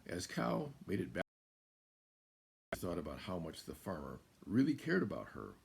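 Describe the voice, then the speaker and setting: An American man in his seventies reading a children's picture book aloud as a guest storyteller, in a deliberate, emphatic way. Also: sits low, wildly swooping pitch, creaky, slightly rough. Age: 70s. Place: small room indoors.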